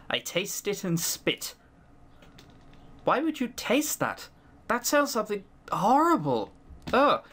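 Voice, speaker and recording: Animated, sardonic man doing game commentary. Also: fairly high pitch. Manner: sardonic, sweary, dryly critical asides. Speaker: video game commentator reading a visual novel aloud. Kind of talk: let's-play commentary mixed with reading aloud.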